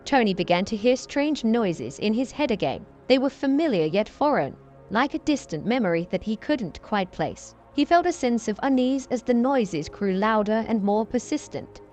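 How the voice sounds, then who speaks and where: A woman with a fairly high voice tells a story in a steady, unhurried read. Soft music plays behind her throughout. Her delivery is scripted and even.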